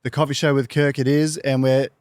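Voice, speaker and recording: A man's voice: mid-range voice, little pitch movement, brisk, a little mellow, smooth, no room sound. Male interview host, dry close sound. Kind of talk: conversational interview.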